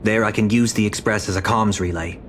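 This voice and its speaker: A man voicing a grave, courteous young nobleman in a video game, speaking formally and with measured solemnity. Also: on the low side, steady tone, noisy background, close to an everyday voice. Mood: resolute.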